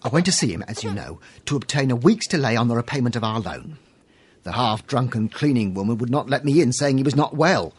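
An actor playing a weary, gentle husband in a radio drama, speaking earnestly and formally in a husky voice. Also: male voice, mid-range voice, expressive, brisk.

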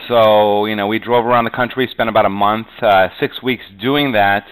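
Rambling, lower-voiced man from New England. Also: fairly even intonation, normal speed, a bit bright, clean tone, poor audio. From New England, USA. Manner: rambling, often says "you know". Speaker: podcast guest, real estate agent. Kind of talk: conversational interview, telling his career story.

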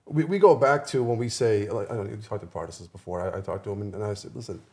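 Low-voiced man chatting on a podcast. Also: very fast, darkish tone. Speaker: podcast guest, rapper. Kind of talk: casual conversational podcast discussion.

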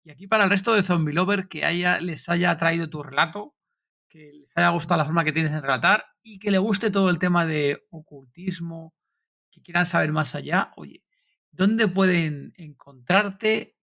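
A man co-hosting a casual podcast interview, chatty and enthusiastic, quick with praise. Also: a little high, creaky, bright, forceful tone. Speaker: podcast co-host.

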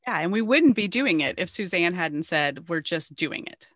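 A woman's voice: fairly low pitch, lively intonation, moderate pace, a bit bright, dry recording, studio quality. A woman co-hosting a podcast, talking in a casual, conversational way. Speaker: podcast co-host. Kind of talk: casual chat.

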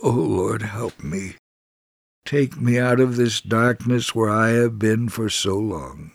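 A man's voice: fairly low pitch, slow pace, vocal fry, dry recording, exaggerated voice. A man voicing a poor, despairing alcoholic father in a narrated devotional story, burdened and confessional. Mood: pleading.

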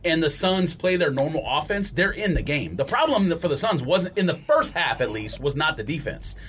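A man's voice: a little high, big pitch swings, moderate pace, a bit bright. A man co-hosting a sports podcast, casually breaking down games in conversation, recorded with some background noise. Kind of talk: casual sports analysis chat.

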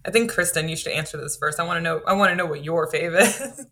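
A woman with a lower voice chatting casually on a podcast, animated and full of "like" fillers. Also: fast talker, vocal fry.

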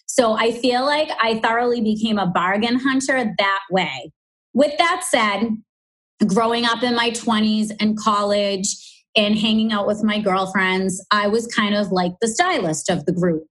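Boston woman, forties, podcast guest; chatty storyteller, mid-pitched voice. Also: measured pace, a bit bright, rough recording. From Boston, USA. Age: 40s. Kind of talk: casual interview chat.

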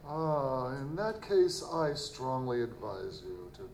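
A man's voice: mid-range voice, sing-song, slow, vocal fry, a little mellow, exaggerated voice. A man playing a courteous, cautious company phone clerk in an audio drama, explaining things hesitantly. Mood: cautious, advising. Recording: noisy live stage recording.

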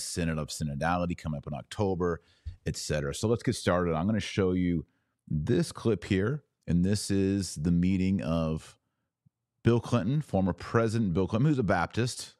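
A man hosting a podcast, giving conversational news commentary in a deliberate, emphatic way with drawn-out pauses. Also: sits low, a little mellow, no echo, studio quality.

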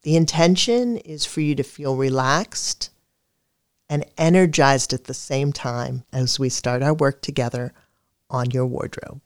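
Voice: medium pitch, clean tone, no echo. Dry, close guided meditation narrator. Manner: calm, measured, soothing delivery.

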